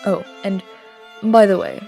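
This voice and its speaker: A woman voicing an aloof, aristocratic immortal prince in an audio roleplay drama, speaking in a cool, commanding tone with soft murmured asides. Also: mid-range voice, fairly even intonation, unhurried, creaky, faint music behind. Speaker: voice actor.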